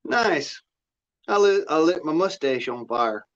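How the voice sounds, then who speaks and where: A man's voice: fairly high pitch, animated, slow pace, fairly bright tone. The sort of blunt, folksy American guy holding forth on a late livestream, muffled through a cheap setup. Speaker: livestream host. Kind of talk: rambling casual monologue. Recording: dull, lo-fi audio.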